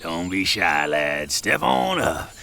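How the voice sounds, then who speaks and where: A gravelly, slow-talking sly hobo. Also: male voice, low voice, sing-song, bright, forceful tone, slight background noise, full character voice. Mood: sly, coaxing. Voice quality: gravelly, rough. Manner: folksy drawl with mock-fancy wording. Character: sly, florid older hobo presiding over a stew pot. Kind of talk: audio drama acting.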